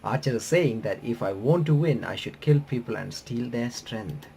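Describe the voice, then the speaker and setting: A man doing a casual visual novel playthrough, reading the on-screen text aloud in a halting, stumbling way and reacting as he goes. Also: medium pitch, animated, creaky, dark tone, slight background noise. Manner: halting, stumbling reader who rereads phrases.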